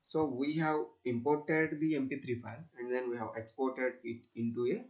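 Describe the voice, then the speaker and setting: Man narrating a halting step-by-step tutorial. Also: mid-range voice, fairly even intonation, a little mellow, highs cut off.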